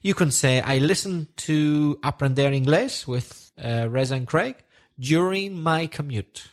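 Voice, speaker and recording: A man's voice: a little high, measured pace. A man co-hosting an English-learning podcast, chatting in a friendly, encouraging way. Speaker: podcast co-host, English teacher. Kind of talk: teaching podcast chat.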